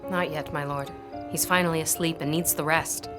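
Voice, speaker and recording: A woman's voice: low voice, fairly even intonation, normal speed, music in the background, close to an everyday voice. A woman playing an earnest, capable scholar in an audio drama, speaking politely and directly. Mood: concerned, gentle. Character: earnest, capable young woman scholar, defensive yet respectful.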